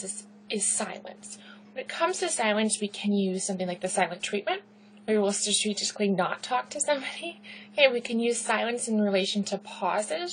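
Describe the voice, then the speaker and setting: A woman instructor giving a recorded lecture, talking loudly in a pitchy, conversational way and checking in with "right?" and "okay". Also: slight background noise, poor audio. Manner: loud, pitchy, conversational with rhetorical questions.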